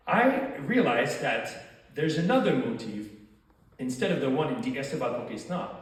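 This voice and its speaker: A man with a thick French accent giving an academic lecture, explaining points and posing rhetorical questions to his audience. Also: mid-range voice. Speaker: doctoral lecturer. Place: lecture hall. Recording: echoey hall, far from mic.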